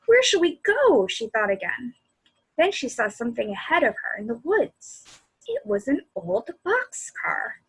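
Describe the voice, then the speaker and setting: Female children's storyteller, high voice with lively swings, expressive read-aloud that speaks to young listeners. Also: a little slow, creaky, slightly rough, rough recording. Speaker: children's librarian reading aloud.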